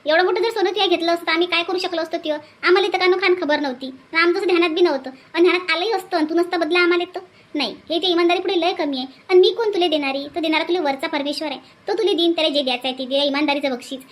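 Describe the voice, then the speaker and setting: A woman voicing a warm-hearted older cartoon village auntie, gushing and insistent, repeating herself in rustic Vidarbha Marathi. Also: extremely high pitch, racing. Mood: coaxing, insistent. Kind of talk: comedy cartoon dialogue.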